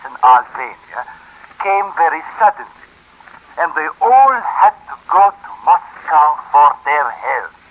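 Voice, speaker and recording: A man delivering deliberate, sardonic scripted commentary as a 1950s radio commentator. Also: on the high side. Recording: dull, lo-fi 1950s radio broadcast.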